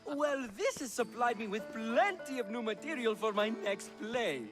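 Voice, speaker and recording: Very high-voiced teasing playwright. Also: male voice, animated, moderate pace, loud music behind the voice. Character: witty, teasing male playwright. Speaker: video game voice actor. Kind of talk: game cutscene dialogue.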